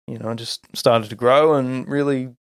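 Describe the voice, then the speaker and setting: A man's voice: medium pitch, fairly even intonation, moderate pace, dry recording, clean studio sound. Easygoing podcast host man. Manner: easygoing, asks loose rambling questions. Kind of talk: casual podcast interview.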